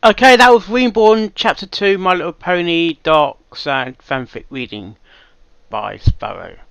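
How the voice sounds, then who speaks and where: Halting man with a slightly high voice. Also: expressive, vocal fry, fairly bright tone, smooth, no echo. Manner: halting, rambling, often repeats himself. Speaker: reaction video host. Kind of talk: casual off-the-cuff commentary.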